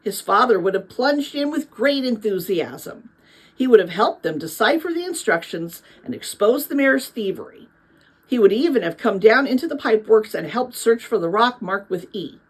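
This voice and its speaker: Woman reading a story, very lively pitch. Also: moderate pace, breathy edge, poor audio. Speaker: audiobook reader. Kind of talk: storybook read-aloud narration.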